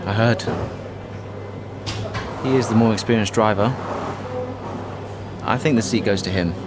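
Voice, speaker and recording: A man's voice: fairly low pitch, measured pace, loud music behind the voice, natural voice. An actor playing an earnest young male racing driver in a game cutscene, speaking plainly and sincerely.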